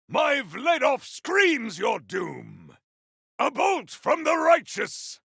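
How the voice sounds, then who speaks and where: Gravelly, bombastic warrior zealot. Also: male voice, very high-pitched, dry recording, studio quality, exaggerated voice. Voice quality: gravelly, forceful. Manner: theatrical, archaic, declamatory battle cries. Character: bombastic, righteous warrior on a holy quest, zealous and grandiose. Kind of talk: video game combat voice lines.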